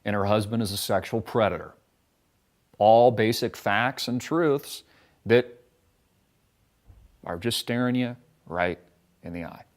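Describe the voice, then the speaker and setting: A man's voice: on the low side, measured pace, pauses often. A man, ranting sarcastically and combatively in profane political commentary.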